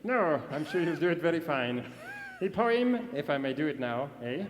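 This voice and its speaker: A man's voice: a little high, animated, moderate pace, slight background noise, natural voice. A man playing a pompous, dim, heroic Canadian Mountie in comic radio theater, declaiming grandly and saying "eh". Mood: cheerfully self-important. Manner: tags sentences with "eh", declaims verse grandly. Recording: live stage hall reverb, music behind.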